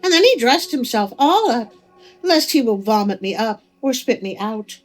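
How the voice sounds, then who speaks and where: A woman narrating a folk tale as a storyteller, with expressive delivery. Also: a little high, normal speed, poor audio. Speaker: storyteller, host of a story channel. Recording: music under the voice.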